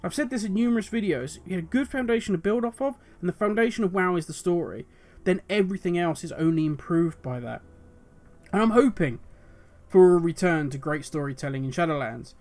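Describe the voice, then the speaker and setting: A man hosting his own video, talking passionately and at length about game lore, his voice going hoarse. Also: high-pitched. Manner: passionate, rambling, musing aloud. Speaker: video host. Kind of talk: solo commentary on game lore.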